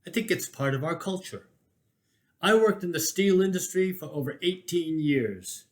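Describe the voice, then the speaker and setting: A man with a slightly high-set voice reads a written personal chronicle aloud. His delivery is that of a storyteller making a case, emphatic and rhetorical. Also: expressive, normal speed. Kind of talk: dramatic reading of a first-person personal essay.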